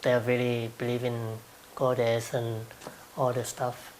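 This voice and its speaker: A man's voice: mid-range voice, monotone, measured pace, a little mellow, a little hiss or hum, rough recording. A man in his twenties from Thailand, speaking with a Thai accent and answering interview questions hesitantly, with frequent ums and light laughs.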